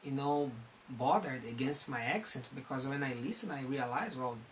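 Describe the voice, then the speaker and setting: A counselor from Brazil speaking with a Brazilian accent, giving a recorded talk on psychology in an earnest, halting way and often restarting his phrases. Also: mid-range voice, lively intonation, a little hiss or hum, poor audio.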